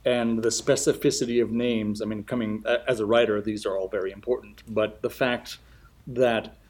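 A man's voice: fairly low pitch, steady tone, a little mellow. A man interviewing in a thoughtful, tentative way, working gradually toward his question. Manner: measured, tentative, builds slowly toward a question. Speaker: podcast interviewer.

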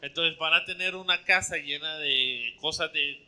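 A man interpreting a sermon phrase by phrase into Spanish, following each line closely and sometimes pausing with fillers. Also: a little high, bright, forceful tone, clear, resonant tone.

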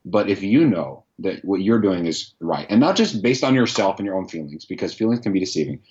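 Deep-voiced man, earnest and reflective. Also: very animated, fairly quick, darkish tone, lo-fi sound. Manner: reflective, earnest, thinks aloud. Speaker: podcast host, guest here. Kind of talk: casual interview answers.

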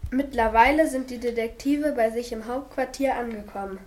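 A woman's voice: a little high, moderate pace. A female narrator reading the scripted linking text of an amateur radio play.

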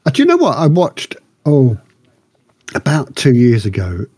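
A man's voice: medium pitch, huge pitch swings, normal speed, a little mellow, breathy edge, no room sound. A man co-hosting a podcast, telling anecdotes about films in casual chat. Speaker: podcast co-host.

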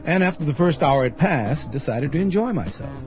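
A man's voice: fairly high pitch, expressive, brisk, over music, natural voice. An actor playing a wry, skeptical artist who recounts his story in colloquial first-person radio drama narration. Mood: wry, reluctant.

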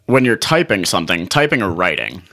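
Bright-voiced man in relaxed chat. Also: low-pitched, brisk. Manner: laid-back, swearing, laughs easily. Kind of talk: casual chat.

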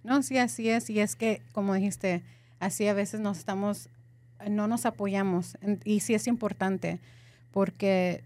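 A woman's voice: mid-range voice, steady tone, a little slow, darkish tone. A woman from San Antonio with a Texan Mexican-American Spanish accent, co-hosting a podcast and switching between Spanish and English while she chats. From San Antonio, Texas. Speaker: podcast co-host. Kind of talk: casual chat.

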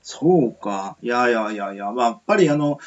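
Animated male host, muffled lo-fi call. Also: medium pitch, a loud crowd. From southern Japan. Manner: halting, filler-heavy, rambling, eager nodding along. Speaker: radio show host. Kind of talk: casual interview chat. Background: background guitar music.

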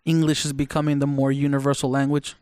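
A man's voice: medium pitch, completely flat delivery, fast, darkish tone, smooth, dry recording, clean studio sound. A man hosting a casual podcast chat, eager and curious, asking lots of questions in slangy talk.

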